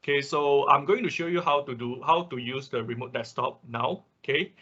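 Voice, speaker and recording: A man teaching a software tutorial step by step in an unscripted, halting way, often marking steps with short filler confirmations. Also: medium pitch, husky. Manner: halting, often repeats "okay" and "right" as markers. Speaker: workshop instructor. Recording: dull lo-fi online class audio.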